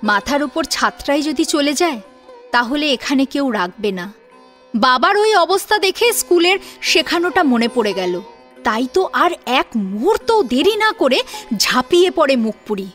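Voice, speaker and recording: High-pitched expressive woman storyteller. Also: a little slow. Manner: expressive storytelling with rhetorical questions. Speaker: narrator of an audio story serial. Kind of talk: dramatic scripted narration. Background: soft sitar and plucked string music.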